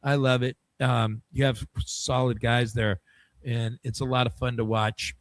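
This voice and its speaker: A man's voice: mid-range voice, level tone, unhurried, no echo. A man hosting a casual podcast, chatty and joking, leaning on fillers like "I mean". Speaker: podcast host.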